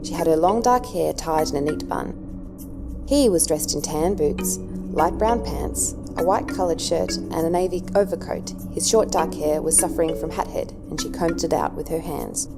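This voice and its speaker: A woman with a low-pitched voice narrates a scripted story. Music plays clearly underneath her reading. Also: moderate pace.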